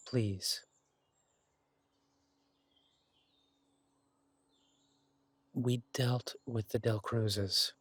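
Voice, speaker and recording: A man voicing a protective male werewolf detective in an audio drama, speaking in a gentle, reassuring, unhurried way. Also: mid-range voice, husky, dry recording. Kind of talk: romantic audio drama roleplay.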